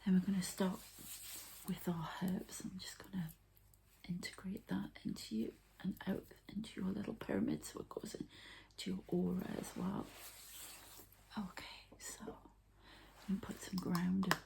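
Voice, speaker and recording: A woman giving an ASMR energy healing session, softly and haltingly talking through each step as she goes. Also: lowish voice, vocal fry, rough recording. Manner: soft, halting, murmured commentary on each step. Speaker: ASMR energy healer and channeler.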